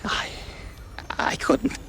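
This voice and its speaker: A man voicing a cynical, guilt-ridden rich mouse in an animated noir game, with a theatrical, evasive, hesitant delivery. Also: very slow, slight background noise. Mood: defensive.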